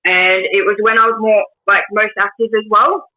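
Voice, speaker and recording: A woman in her twenties with an Australian accent, a guest telling her life story in a casual interview, hesitant with many pauses and restarts. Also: medium pitch, fairly even intonation. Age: 20s. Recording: tinny phone-like line.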